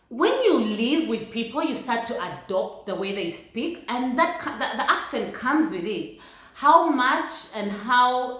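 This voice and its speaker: A woman from Uganda with a Ugandan accent, talking through points in a casual vlog in a measured, thoughtful way. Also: on the high side, fairly bright tone. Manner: thoughtful, measured, laughs lightly between points. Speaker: vlogger. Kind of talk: casual vlog talk to camera. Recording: very echoey room.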